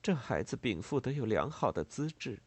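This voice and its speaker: A man voicing a composed, proud, tender gentlewoman in a storybook, speaking in a measured, earnest and formal way. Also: medium pitch, big pitch swings, slightly rough, dry recording.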